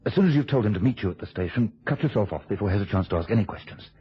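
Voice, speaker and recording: Controlling, quick-thinking plotter for radio thriller; rough husky tone, animated delivery, urgent instructions, dull old recording. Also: male voice, lowish voice. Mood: urgent, scheming. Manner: urgent, instructing, hushed asides to an accomplice. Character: scheming husband, controlling, quick-thinking, coolly plotting a deception.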